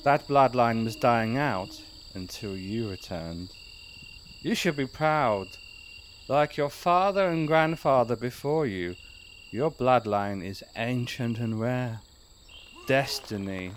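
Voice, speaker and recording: An actor playing a pompous lodge master of a werewolf cult in a comic horror audio drama, grandiose with dry asides. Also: male voice, mid-range voice, lively intonation, slow. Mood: proud, solemn. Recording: night insect ambience, clear noise.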